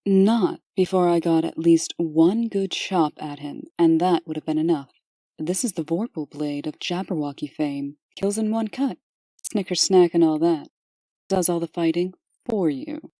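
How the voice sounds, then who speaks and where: A woman playing a bold, stern princess in a comic dub, brisk and assertive. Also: on the low side, dark tone, no echo. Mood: bold, defiant. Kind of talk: dramatic comic-dub voice acting.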